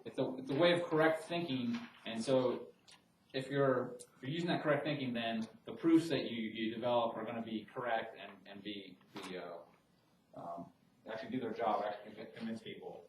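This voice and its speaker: A man gives a math lecture in a classroom, stopping and restarting his phrases as he works through ideas in a casual, talky way. His voice sits at an ordinary pitch for a man and is picked up from a distance, so it sounds roomy and echoey. A faint background hiss runs underneath. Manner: conversational, many restarts and fillers.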